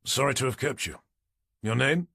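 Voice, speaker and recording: A man's voice: medium pitch, expressive, normal speed, vocal fry, sharp tone, husky, no room sound, exaggerated voice. A man voicing a dry, wry detective inspector asking questions in an audiobook, with a British accent. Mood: polite.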